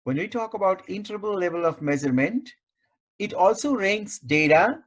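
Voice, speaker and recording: A man giving an explanatory lecture as a tutor, speaking in short, deliberate phrases and repeating key points. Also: high-pitched, expressive. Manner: deliberate, repetitive teaching with short, segmented phrases. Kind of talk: explanatory online lecture over slides.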